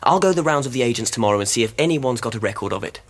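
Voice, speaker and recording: A young man playing an eager, determined newlywed amateur sleuth in a radio drama, with an animated and decisive manner. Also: mid-range voice, racing, lightly acted. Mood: thoughtful. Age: young adult.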